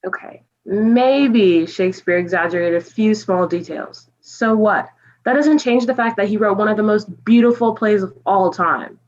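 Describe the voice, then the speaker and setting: A woman's voice: mid-range voice, moderate pace, lo-fi sound, natural voice. A woman playing an earnest, pedantic, easily flustered storyteller in a comic stage reading. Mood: exasperated.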